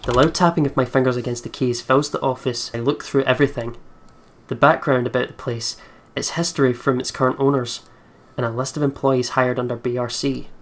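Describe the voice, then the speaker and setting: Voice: mid-range voice, fairly even intonation, fairly quick, a little hiss or hum. A game video host reading a horror visual novel aloud dramatically, with casual asides.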